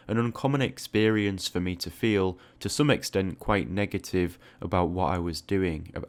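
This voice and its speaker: Low-pitched man talking reflectively. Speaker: art video creator and host. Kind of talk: reflective casual audio log monologue.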